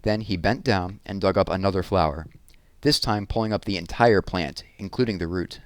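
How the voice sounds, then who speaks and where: A man's voice: sits low, moderate pace, dry recording. A man reading a novel aloud in a scripted storytelling narration. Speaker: read-aloud reader.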